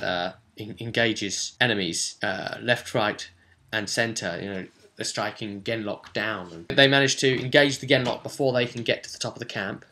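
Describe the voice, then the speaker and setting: A man speaks in a slightly deep voice with a sharp, forceful edge. He hosts a vidcast as a game master, recapping tabletop role-play in an informal way. His delivery is conversational and emphatic.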